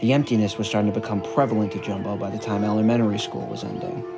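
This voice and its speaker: A man reading a scripted story narration in a measured, earnest way. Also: on the low side, steady tone. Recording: music bed under the narration. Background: background music.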